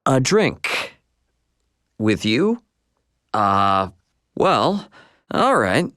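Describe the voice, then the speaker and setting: A man acting a brash but friendly young spacefaring adventurer in a video game, with a casual, expressive, slangy delivery. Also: medium pitch, drawn-out words, no echo, studio quality, exaggerated voice. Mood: hesitant, amazed.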